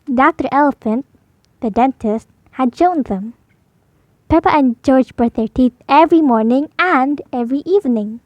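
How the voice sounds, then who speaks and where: A woman's voice: sits high, normal speed, no echo, muffled recording. A woman reading a picture story aloud for young children as scripted narration. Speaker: storybook reader.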